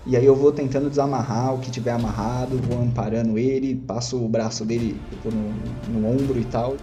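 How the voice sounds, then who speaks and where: A man's voice: medium pitch, fairly even intonation, brisk, roomy sound, over music. A man with a Brazilian accent casually describing his actions as a tabletop game player.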